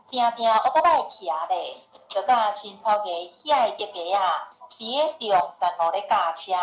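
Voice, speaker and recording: A Taiwanese woman narrates a radio drama at a medium pitch. Her storytelling comes with a roomy echo, and music plays faintly behind her.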